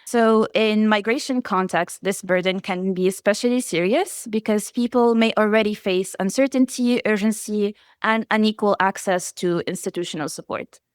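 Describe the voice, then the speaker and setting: Smooth-voiced woman presenting research. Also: mid-range voice, no room sound, studio quality. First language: Arabic. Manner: measured, enumerating lists steadily. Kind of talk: prepared conference presentation.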